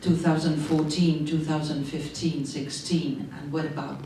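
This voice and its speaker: A woman's voice: low voice, flat delivery, mellow, slight background noise. A middle-aged woman with a Czech accent, a non-native English speaker, giving a panel talk into a handheld mic and often searching for words. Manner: slow, searching for words, apologetic. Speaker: panel speaker, migration expert. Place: conference room, seated at panel table. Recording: echoey room, distant sound.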